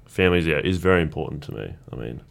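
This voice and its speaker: Young Australian guy, Croatian first language, low and fast, easygoing storyteller. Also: creaky. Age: young adult. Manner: relaxed, rambling storytelling with restarts. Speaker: guest athlete. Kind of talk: casual chat.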